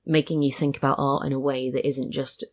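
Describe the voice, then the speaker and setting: A woman's voice: very deep voice, flat delivery, fairly quick. A woman chatting casually as a podcast guest, thoughtful and a little meandering as she talks. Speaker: podcast guest, writer and voice actor. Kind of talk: casual chat.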